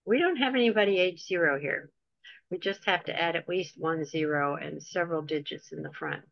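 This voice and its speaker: A woman hosting an online talk, introducing the speaker in a chatty, relaxed way with light jokes. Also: deep voice, a little fast, creaky, dry recording, lo-fi sound. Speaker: host and moderator. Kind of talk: introducing a speaker over a video call.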